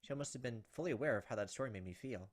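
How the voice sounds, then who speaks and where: A man's voice: medium pitch, very fast. A man reading a visual novel aloud as a let's player, telling it dramatically and acting out the lines. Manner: expressive, storytelling, acts out the lines. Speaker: video game let's player. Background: game music.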